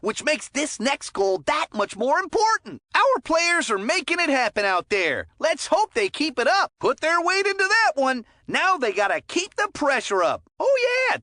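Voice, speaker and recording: Cartoonish game hockey commentator, high-pitched, very animated, folksy, chummy, encouraging. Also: female voice, no echo, studio quality, exaggerated voice. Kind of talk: video game sports commentary voicelines.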